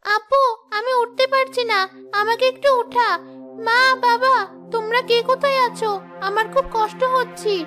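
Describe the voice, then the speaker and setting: A woman's voice: very high voice, steady tone, normal speed, pauses often, bright, forceful tone, faint music behind. A woman voicing a frail, pleading little girl in a cartoon, plaintive and childlike. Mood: distressed, pleading. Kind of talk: Bengali cartoon voice acting.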